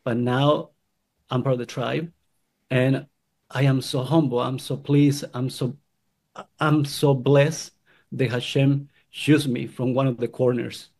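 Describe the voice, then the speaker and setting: Earnest man from Guatemala, gravelly voice. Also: medium pitch, a little mellow. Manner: earnest, halting, with restarts. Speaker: convert sharing his story. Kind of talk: personal testimony.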